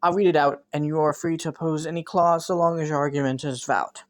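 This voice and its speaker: A woman's voice: low-pitched, fairly even intonation, fast talker, natural voice. A woman playing a young scheming prince in a romantic audio roleplay, courteous and formal, with flustered stammers. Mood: businesslike, composed.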